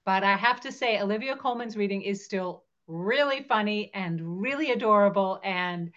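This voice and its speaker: A woman's voice: medium pitch, normal speed, fairly bright tone, dull audio. A woman co-hosting a show, explaining history in a discursive, educational way. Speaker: show co-host.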